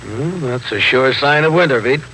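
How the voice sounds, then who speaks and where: Crisp, authoritative police captain. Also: male voice, medium pitch, lively intonation, normal speed, bright, forceful tone. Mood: businesslike. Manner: clipped, formal, matter-of-fact questioning. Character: businesslike middle-aged male police captain, measured and authoritative. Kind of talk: 1950s radio drama narration and dialogue. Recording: noisy 1950s radio recording.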